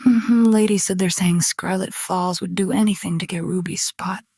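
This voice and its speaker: A woman's voice: fairly low pitch, fairly even intonation, moderate pace, husky, no echo, studio quality. A woman playing a proud, irritated, slangy nightclub owner in a noir radio drama. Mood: indignant, suspicious. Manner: sassy, slangy, commanding. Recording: loud music under the voice.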